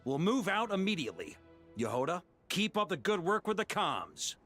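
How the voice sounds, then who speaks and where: Confident game commander, authoritative and decisive, high-set male pitch, animated delivery. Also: moderate pace, soft background music. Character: commanding male military leader, bold and confident. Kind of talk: video game voice acting.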